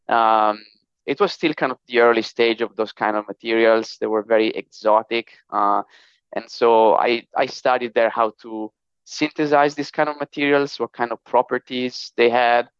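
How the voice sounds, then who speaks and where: Italian-accented man, hesitant, with a smooth voice. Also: on the low side, a bit bright, no room sound, dull audio. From northern Italy. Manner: hesitant, many fillers and restarts. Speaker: podcast guest, technology executive. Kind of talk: casual interview talk.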